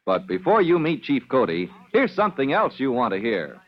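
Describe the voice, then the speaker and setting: A man's voice: mid-range voice, wildly swooping pitch, normal speed. Man announcing a radio drama. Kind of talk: scripted radio narration. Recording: dull old radio recording.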